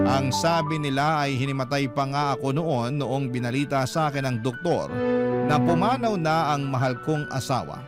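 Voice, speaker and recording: A man with a Filipino accent reading a letter dramatically as a radio storyteller. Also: mid-range voice. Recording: music behind the voice. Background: music.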